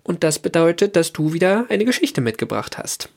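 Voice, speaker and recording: A man's voice: on the high side, very animated, moderate pace, gravelly, a little mellow. A man co-hosting a podcast in casual chat, slightly distorted by a cold, hesitant with fillers and restarts. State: has a cold, sounds a bit distorted. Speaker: podcast co-host.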